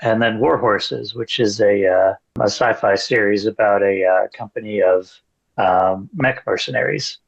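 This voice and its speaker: A man with a gravelly voice speaks on an online writers' panel, his audio dull and lo-fi. He pauses often and fills gaps with ums. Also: on the low side, fairly even intonation. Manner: hesitant, many fillers. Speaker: panelist, author. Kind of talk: casual panel chat.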